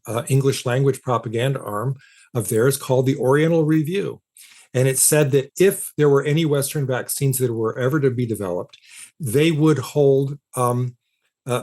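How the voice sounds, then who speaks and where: A man speaking as an expert guest in an interview, explaining emphatically with halting, repeated starts to his sentences. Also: medium pitch, a little mellow. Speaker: interview guest, philosopher of science and author.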